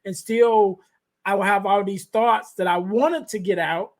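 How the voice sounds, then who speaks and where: A man with a light, high voice hosts a podcast conversation. He talks softly and haltingly, restarting phrases and leaning on fillers as he thinks aloud. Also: fairly even intonation. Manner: halting, many restarts and fillers, soft-spoken. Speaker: podcast host. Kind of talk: conversational interview. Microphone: podcast microphone.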